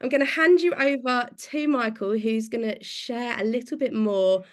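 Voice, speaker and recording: A woman with a fairly high voice introduces a guest author over a video call. She sounds enthusiastic, with small halting restarts. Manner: warm, enthusiastic, slightly halting. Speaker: webinar host.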